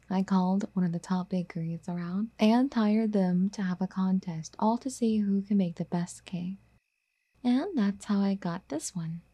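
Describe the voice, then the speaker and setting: Woman acting as a soft, husky yandere girlfriend. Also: medium pitch, natural voice. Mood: coaxing, eager. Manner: cooing, coaxing pet-name sweet talk. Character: doting, obsessive, clingy yandere girlfriend, wealthy and apologetic. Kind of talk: ASMR girlfriend roleplay, soft and half-whispered.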